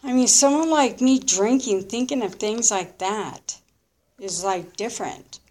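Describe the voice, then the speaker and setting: A woman in her early fifties giving a candid, unscripted personal testimony in short, halting, reflective phrases. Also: a little high, dark tone, a little hiss or hum. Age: early 50s. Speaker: recovering alcoholic sharing her story.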